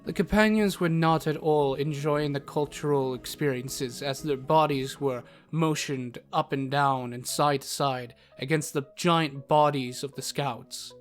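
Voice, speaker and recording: A man's voice: on the high side, unhurried, hardly any pauses. A man narrating a scripted fantasy audio drama in the first person, with formal storybook wording. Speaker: narrator, in character as a travelling doctor. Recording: music bed under narration.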